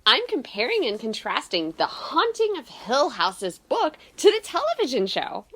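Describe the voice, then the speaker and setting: A perky young female college student with a high voice that swoops wildly up and down. She is eager and polite, in audio drama style. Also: wildly swooping pitch, moderate pace, fairly bright tone, exaggerated voice.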